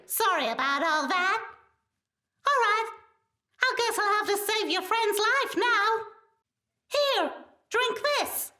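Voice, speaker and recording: A woman's voice: very high-pitched, lively intonation, slow, pauses often, sharp tone, exaggerated voice. A woman playing an indignant, moralising creature made to entertain, speaking emphatically in an audio play. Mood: apologetic. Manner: emphatic, scolding. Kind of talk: fantastical audio play acting. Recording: roomy, distant microphone.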